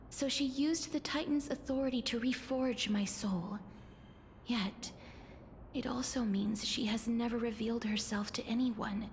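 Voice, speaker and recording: An actress playing a gentle, solemn young woman bound to death, speaking in a measured, soft way for a video game. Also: on the high side, a little hiss or hum. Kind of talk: dramatic video game voice acting.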